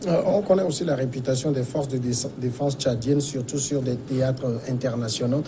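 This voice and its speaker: A man hosting a broadcast news interview, putting measured questions to a guest. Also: mid-range voice. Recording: clear background noise.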